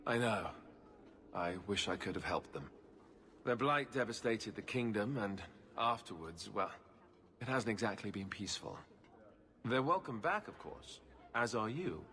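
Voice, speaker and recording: A man's voice: fairly low pitch, expressive, unhurried, long pauses, sharp tone, big-room sound, exaggerated voice. An actor in a video game playing a good-humoured, weary but hopeful king with a dry, casual, joking manner. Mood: wry, regretful.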